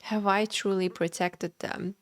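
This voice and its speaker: A woman's voice: mid-range voice, steady tone, measured pace. A woman livestreaming a video game, commenting and reading the in-game text aloud. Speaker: game streamer.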